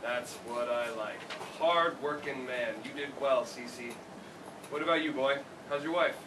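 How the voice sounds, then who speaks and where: A man's voice: mid-range voice, unhurried, natural voice. A man playing a playful, sentimental old father with a teasing manner in a staged play reading. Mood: fond. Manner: teasing, chuckling. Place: theater stage, recorded from the audience. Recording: distant, echoey, noisy live theater recording.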